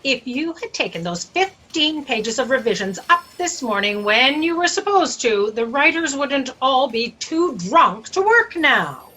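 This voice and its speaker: A woman's voice: high-pitched, sing-song, measured pace, few pauses, bright, forceful tone, exaggerated voice, rough recording. A woman playing a demanding, exasperated boss who scolds her staff in a sci-fi satire audio drama. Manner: bossy, scolding.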